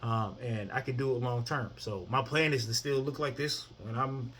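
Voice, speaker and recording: A man's voice: medium pitch, steady tone, moderate pace, fairly bright tone, breathy edge. A man in his thirties talking casually to the camera about his own fitness routine, often asking "you know what I mean?". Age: 30s. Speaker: fitness vlogger.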